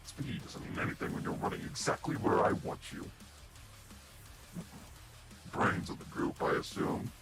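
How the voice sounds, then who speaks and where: A man voicing a taunting monster villain for a game character reel, with a breathy, whispery rasp and a mocking, theatrical delivery. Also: deep voice. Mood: condescending. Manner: slow, deliberate, mocking, theatrical. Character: sinister taunting male monster villain, menacing, patient and mocking. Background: prominent music.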